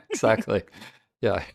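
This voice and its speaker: A man's voice: no room sound, studio quality. A man as a podcast guest, chatting casually, laughing often and hesitating with many ums. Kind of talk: casual chat.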